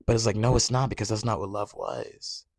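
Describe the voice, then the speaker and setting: Young male podcast host, 20s, animated and sassy, very dry close mic. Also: fairly quick, vocal fry, dry recording. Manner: animated, sassy, conversational with frequent "you know what I'm saying"; rises into loud high outbursts when worked up. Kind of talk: casual solo podcast talk.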